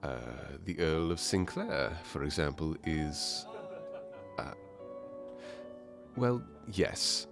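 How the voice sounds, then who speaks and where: A man's voice: rumbling low, lively intonation, drawn-out words, long pauses, music in the background, heavily acted. A man playing a charming, teasing masked nobleman in a romantic ASMR fairytale roleplay, suave and playful with courtly phrasing. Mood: dry, playful.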